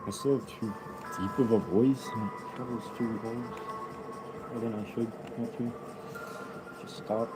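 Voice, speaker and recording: A man's voice: medium pitch, fairly even intonation, measured pace, pauses often, loud music behind the voice, low-quality recording. A man, a rapper, chatting casually and ramblingly to his viewers, loose and offhand.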